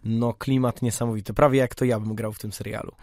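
A man's voice: medium pitch, little pitch movement, fast talker, a little mellow, no echo. A man with a mid-range voice hosts a podcast. He interviews in an easy conversational style, and the recording is dry with hardly any room sound.

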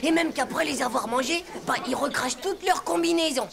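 A woman playing a brash, scheming, excitable young schoolboy in a French cartoon, with a cocky, slangy way of talking. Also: high-pitched, brisk, creaky, heavy background noise. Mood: conspiratorial, boastful.

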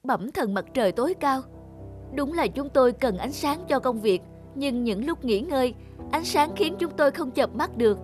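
A woman's voice: high voice, animated, moderate pace, over music. A woman playing a selfless, worn-out peasant mother in a Vietnamese cartoon fairy tale, pleading and tender.

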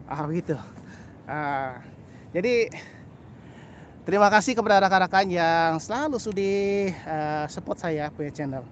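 Middle-aged male vlogger, Sabahan Malay accent, high-pitched voice, halting casual delivery, faint outdoor noise behind. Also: expressive, lo-fi sound. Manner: halting, repeats phrases, frequent "ah" and "lah" tags. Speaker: birding vlogger. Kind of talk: casual vlog chat to camera. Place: outdoors on a grassy path by a canal.

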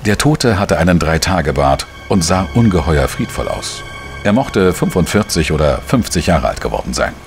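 An actor playing a wry, world-weary journalist who narrates a radio crime drama in a measured, dry manner. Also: male voice, deep voice, animated, music in the background. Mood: reflective. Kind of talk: scripted first-person crime narration.

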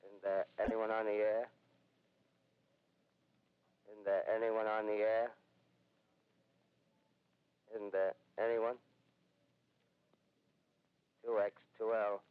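An actor playing a lonely male radio operator who slowly and flatly repeats call signs in a 1930s radio drama. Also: lowish voice, clean tone. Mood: desolate. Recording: tinny distant 1930s radio transmission.

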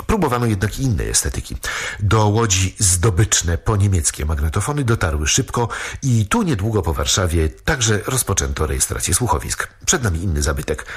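Male documentary narrator, scripted, measured, deep and husky voice. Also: sing-song. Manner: measured, informative.

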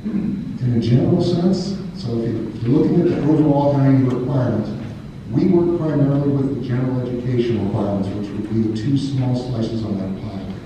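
A man presenting at a college information session, explaining in a measured way. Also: medium pitch, dark tone, slight background noise. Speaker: academic advisor presenter. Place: lecture hall. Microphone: far phone camera in audience. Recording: echoey hall from far off.

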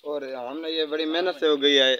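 A man's voice: a little high, fairly even intonation, normal speed, bright, forceful tone, muffled recording. A teenage boy proudly explaining the vegetables he grew, talking outdoors in a village garden to a phone camera. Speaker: young gardener showing his crops.

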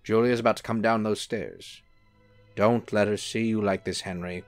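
An actor playing a charming, unruffled angel in a radio-style drama, gently teasing as he talks. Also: fairly low pitch, fairly even intonation, normal speed, soft background music, close to an everyday voice. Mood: gently chiding. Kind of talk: radio-play style acting.

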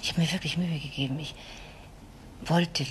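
A woman's voice: low voice, fairly even intonation, normal speed, lightly acted. An actress playing an overwhelmed, apologetic mother in a crime radio play, speaking in a faltering way. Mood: remorseful. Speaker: actor. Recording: noisy radio play recording.

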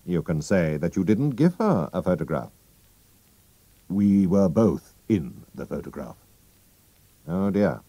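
A man reading a storybook aloud and voicing a sharp, calm male detective who talks in a brisk, sure-of-himself way. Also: low voice, gravelly, slightly rough, no room sound, full character voice. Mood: assured.

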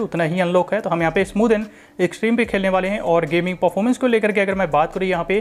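A man giving a casual, informal tech review voice-over, explaining things in a repetitive way. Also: high voice. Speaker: tech reviewer, channel host.

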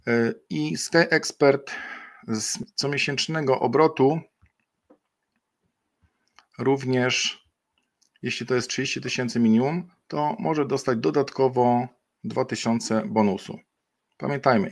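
A middle-aged man presenting a webinar over a webcam, explaining a program unscripted with frequent fillers and self-corrections. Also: medium pitch.

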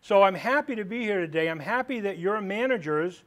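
A man's voice: high-pitched, expressive, a little fast. A middle-aged man from Maine with a big voice, a police lieutenant giving a live classroom lecture in a conversational, plain-spoken way with rhetorical questions. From Maine, United States. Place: training room with a seated audience. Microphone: far camera at the back of the room.

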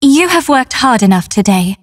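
A woman playing a solemn, tender female android soldier in a video game, speaking in a measured, formal, earnest way. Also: high-pitched, big pitch swings, heavily acted. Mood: courteous. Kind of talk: English dub game voice acting.

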